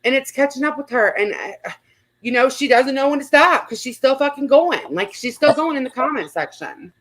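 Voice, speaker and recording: A woman's voice: high voice, lively intonation, brisk, fairly bright tone. A woman host in casual livestream commentary, speaking bluntly and swearing often.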